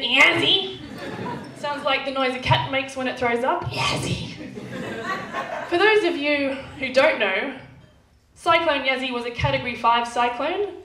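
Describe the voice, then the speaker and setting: A young Australian woman with a nasal twang doing brash, sweary stand-up comedy. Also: sits high. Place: comedy club stage. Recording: echoey club room, distant, noisy.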